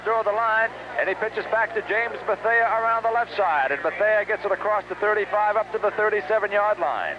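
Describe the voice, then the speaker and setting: A muffled 1970s radio broadcast with heavy noise carries an American man calling high school football. His voice sits high, and he describes each play in short, clipped phrases as a live sportscaster. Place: outdoor stadium game broadcast.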